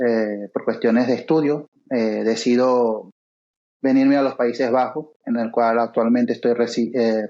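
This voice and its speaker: A man in his forties answers questions slowly, filling pauses with hesitant sounds. His voice sits in the middle range for a man. He is heard over a remote call that sounds dull and lo-fi. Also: medium pitch, warm tone. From Venezuela. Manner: halting, many fillers and pauses. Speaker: guest author. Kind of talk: interview conversation.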